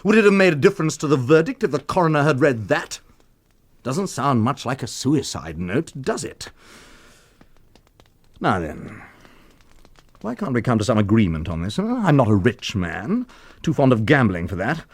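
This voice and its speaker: An actor playing a cocky, drunken blackmailer in a radio drama, with a taunting, familiar, insinuating manner. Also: male voice, medium pitch, sing-song, normal speed, long pauses. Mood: sly, wheedling.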